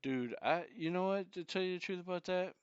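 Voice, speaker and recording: A man's voice: sits high, brisk, clean tone, dry recording, highs cut off. A man in his forties co-hosting a podcast, chatting casually with a joking, profane manner. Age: 40s. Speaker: podcast co-host. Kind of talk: casual chat.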